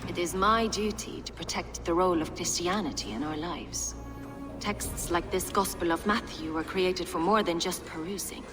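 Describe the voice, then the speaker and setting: A woman's voice: on the low side, normal speed, lightly acted. Female actor as devout video game abbess, long hall reverb, earnest, formal, resolute, music underneath. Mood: solemn resolve. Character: devout, resolute abbess guarding sacred texts.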